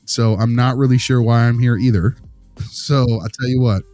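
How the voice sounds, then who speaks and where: A man's voice: medium pitch, fairly even intonation, normal speed, warm tone, no room sound, soft background music, natural voice. A man playing an affable, slightly awkward visiting consultant, chatty, informal and rambling, in improvised audio drama. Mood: polite, probing.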